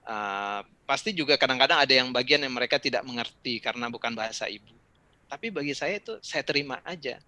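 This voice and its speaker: Bright, relaxed Indonesian man on a video call. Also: mid-range voice, fast, dry recording. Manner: relaxed, conversational, with frequent fillers and asides. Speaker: speaker answering questions in an online session. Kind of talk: casual talk and advice.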